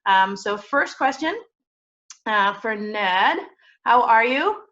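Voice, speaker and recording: Bright-voiced American woman hosting. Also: fairly high pitch, animated, slow pace, dull audio. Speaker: library host, interviewer. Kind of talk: casual interview hosting for children. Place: remote recording from home.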